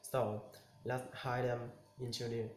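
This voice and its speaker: A man narrating a step-by-step tutorial in short, simple, halting instructions. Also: mid-range voice, little pitch movement. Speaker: tutorial presenter. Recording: echoey room, clear noise, clicks.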